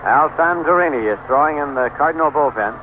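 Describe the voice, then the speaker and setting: A man calling baseball play-by-play on the radio in measured, descriptive short phrases. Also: a little high, animated. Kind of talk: live radio sports commentary. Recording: noisy, tinny vintage 1970s AM radio broadcast.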